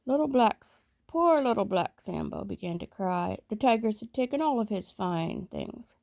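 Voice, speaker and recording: A woman reading a children's story aloud in a measured, expressive storytelling manner. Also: medium pitch, gravelly, dry recording. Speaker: storybook reader.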